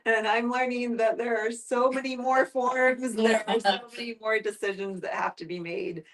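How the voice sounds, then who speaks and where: A woman's voice: a little high, fairly even intonation, normal speed. A woman hosting a casual group discussion in a good-humored, joking way. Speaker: listening club host.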